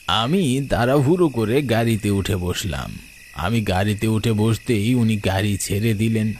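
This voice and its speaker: A man giving a scripted, dramatic first-person narration of an animated horror story. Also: lowish voice, a little slow. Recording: clear background noise of night crickets.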